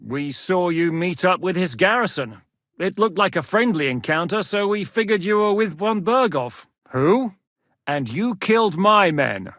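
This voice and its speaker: A man's voice: a little high, a bit bright, no echo, full character voice. A man voicing a hardened warlord commander in a video game, blunt and weary, cursing freely. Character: hardened male warlord commander, gruff and wary. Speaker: game character voice lines. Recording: dry studio voice recording.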